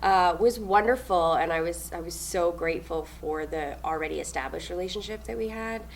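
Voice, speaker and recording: A young adult woman from New Zealand, a stage manager answering interview questions in a loud, upbeat way, often laughing. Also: fairly low pitch, brisk, creaky, slight background noise.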